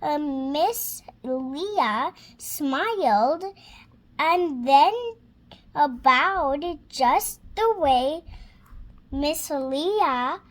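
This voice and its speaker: High-pitched little girl reading very slowly. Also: lively intonation. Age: child, six years old. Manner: hesitant, sounding out words. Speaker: young reader practicing reading aloud. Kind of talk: halting read-aloud of a children's storybook.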